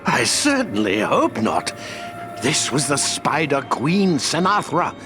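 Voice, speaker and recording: Eccentric old game wizard: kindly, chatty, pitch swooping wildly, music underneath. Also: male voice, sing-song, slow. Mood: grave storytelling. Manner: whimsical, rambling, chatty. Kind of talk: video game cutscene acting.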